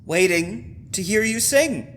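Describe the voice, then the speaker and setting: A male actor as a menacing man toying with his victim in an old-style radio horror play. His voice is high for a man, slow and deliberate, with a taunting edge. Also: lively intonation, a bit bright, a little hiss or hum, heavily acted. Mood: beckoning. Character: menacing, teasing brother-in-law stalking a woman. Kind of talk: old-time radio horror drama acting.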